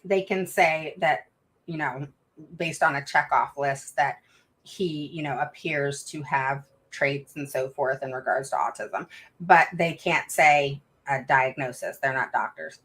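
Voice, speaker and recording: A middle-aged woman hosting a live stream for parents, reading out viewer comments. Her voice sits low but has a bright, forceful edge. She talks frankly, with plenty of fillers and restarts. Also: measured pace. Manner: calls herself a roaring mama advocate. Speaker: host of a live stream for special education parents.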